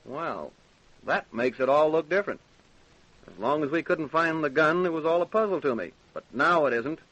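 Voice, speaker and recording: An actor with an American accent playing a blunt, dogged sheriff who questions suspects curtly in an old-time radio crime drama. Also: male voice, a little high, animated, moderate pace, a bit bright. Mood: accusing. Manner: curt, pressing questioner. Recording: dull, lo-fi old radio recording.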